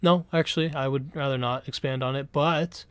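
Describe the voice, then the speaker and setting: A young man from Canada chats loosely with his live stream audience, swearing freely and restarting his sentences. His voice is smooth and clear at a middling pitch, recorded close and dry with no room sound. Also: fairly even intonation, normal speed, fairly bright tone, dry recording. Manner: rambling, profane, laid-back, full of restarts. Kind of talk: casual live-stream chat.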